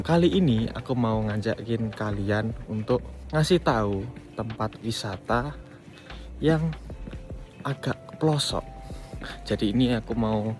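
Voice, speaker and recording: A man chatting casually to his viewers as a travel vlogger, talking from train stations and platforms. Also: on the low side. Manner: chatty, addresses viewers as friends. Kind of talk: casual travel vlog narration.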